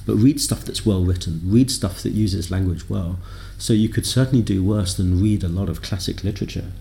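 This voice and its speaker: A man, a native English speaker, being interviewed as a software developer, talking thoughtfully with lots of ums and self-deprecating asides. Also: low-pitched, fairly quick, vocal fry. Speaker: podcast guest, software developer. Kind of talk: conversational interview.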